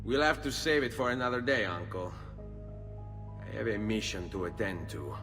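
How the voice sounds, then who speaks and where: An actor with an Italian accent playing a courteous, determined young assassin in a video game. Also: male voice, fairly low pitch, normal speed, music in the background, lightly acted. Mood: polite, businesslike. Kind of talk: cinematic video game acting.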